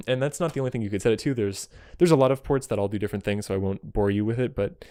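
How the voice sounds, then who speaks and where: A man's voice: fairly low pitch, fast talker, noisy background. A man giving a casual, rambling synthesizer demonstration to a friend, enthusiastic yet self-deprecating and apologetic, speaking as an amateur player. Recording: music behind, occasionally overloaded mic. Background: synthesizer tones.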